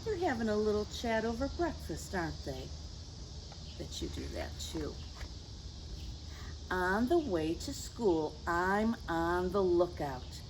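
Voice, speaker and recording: A woman reading a picture book to young children in a gentle, teacherly way, with chatty asides to her listeners. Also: fairly low pitch, sing-song, slow pace, background noise. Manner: gentle teacherly address, tag questions to the listeners. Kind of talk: read-aloud story time for young children with chatty asides. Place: outdoors.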